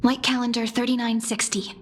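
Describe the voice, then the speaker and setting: A woman voicing a gentle, resolute young heroine in a fantasy game, telling a legend in a solemn, measured way. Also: on the high side, level tone, lightly acted. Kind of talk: scripted fantasy game narration. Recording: music clearly behind.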